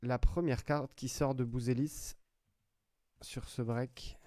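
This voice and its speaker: A man hosts a card break in an unhurried, drawn-out delivery, calling out player names one after another between casual remarks. His voice sounds dry and close, with no room around it. He talks as he handles packs, chatty and loose. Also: mid-range voice, steady tone, mellow, dry recording. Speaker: trading card break host. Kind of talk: live commentary while opening card packs.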